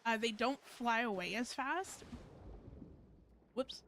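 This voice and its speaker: A woman's voice: a little high, takes it slowly, a bit bright, slight background noise. A woman giving casual live-stream commentary on a game, rambling and thinking aloud as she plays.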